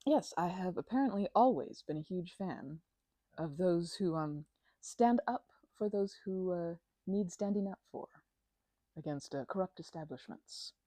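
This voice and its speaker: Low, husky woman rambling playfully. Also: expressive, unhurried, warm tone, no room sound. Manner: rambling, playful, tangent-prone. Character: chatty, scatterbrained female best friend hosting tea. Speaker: ASMR roleplay performer. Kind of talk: ASMR roleplay, improvised casual chat.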